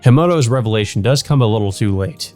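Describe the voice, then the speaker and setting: A man's voice: lowish voice, lively intonation, fast talker, a little mellow, soft background music, lightly acted. A man voicing an earnest, easygoing, domestic teenage schoolboy from a visual novel, plain and mildly wry.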